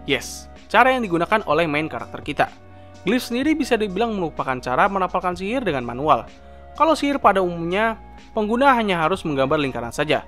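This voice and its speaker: A young man narrates an explainer in a high-set, very lively voice. He moves along quickly and keeps the tone informal and chatty, like a host talking to viewers. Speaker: video essay host. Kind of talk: scripted explainer narration with casual asides. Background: background music.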